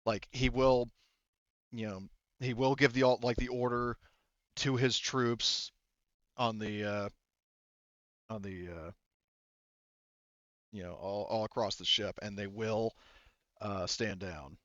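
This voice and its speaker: A man narrating a tabletop game as game master, improvising in a halting, hesitant, rambling way. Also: fairly low pitch, steady tone, fairly bright tone, dry recording, muffled recording.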